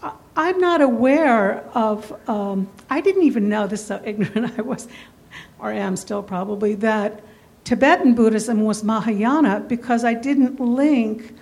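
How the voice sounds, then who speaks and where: A woman's voice: on the high side, measured pace, a little mellow. A woman from the audience, a Zen practitioner, asking a question in an earnest, self-deprecating way. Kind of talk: question from the floor.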